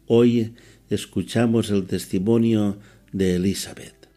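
A man's voice: lowish voice, fairly even intonation, slow, warm tone. A man who is a priest, hosting a religious programme and introducing a segment. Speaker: host, priest leading a catechesis programme.

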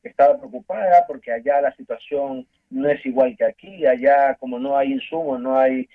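A man's voice: mid-range voice, animated. Ecuadorian man on a tinny phone line. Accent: Ecuadorian Spanish. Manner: earnest, halting, with many restarts. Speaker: guest giving a testimony. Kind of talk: phone-in interview.

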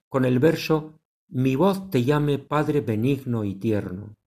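A man hosting a religious radio program, introducing segments in a measured, devout manner. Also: mid-range voice, a little mellow. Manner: measured, devout announcer delivery.

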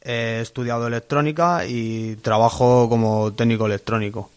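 Man, 20s, guest giving a testimony, plain and hesitant, steady medium pitch. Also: moderate pace, clean tone. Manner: plain and hesitant, lots of fillers. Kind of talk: personal testimony.

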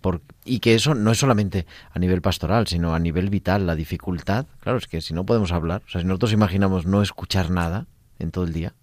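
Male radio host, very low voice, chatty interviewer, dry studio sound. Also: quick pace, no room sound. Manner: lively, chatty questioning.

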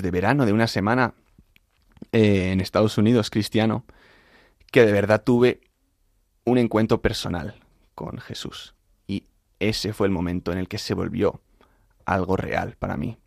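Low, creaky young man from Madrid. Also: steady tone, normal speed, long pauses, dry recording, studio quality. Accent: Madrid Spanish. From Madrid, Spain. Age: young adult. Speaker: interview guest, composer and singer studying at university. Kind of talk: informal spoken testimony in an interview.

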